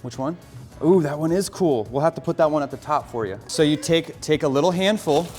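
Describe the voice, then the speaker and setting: A man's voice: fairly high pitch, animated, fairly quick, a little mellow, big-room sound, soft background music. Upbeat American man coaching an aquascape build. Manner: upbeat, coaching, explains step by step. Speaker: aquarium hobbyist host. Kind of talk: casual instructional vlog.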